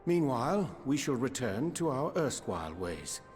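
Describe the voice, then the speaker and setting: A grave, composed fantasy adventurer acted by a man in a game cutscene. His mid-pitched voice moves widely in tone as he speaks with formal deliberation, music behind him. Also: sing-song, moderate pace, a little mellow, close to an everyday voice. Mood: calm, grave. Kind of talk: video game cutscene acting.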